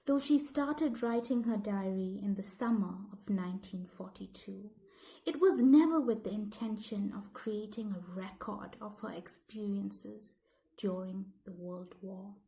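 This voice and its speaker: A woman reading scripted educational narration aloud in measured, deliberate phrases, as for a school lesson. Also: medium pitch, gravelly, dark tone, rough recording.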